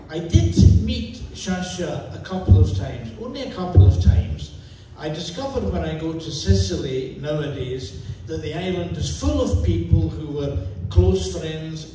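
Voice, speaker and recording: A man's voice: fairly high pitch, mellow, a little hiss or hum. An older man with a Scottish accent, an author telling wry, fluent stories at a book event in a bookstore. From Scotland. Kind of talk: book talk anecdote. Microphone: far camera. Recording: echoey room from far off.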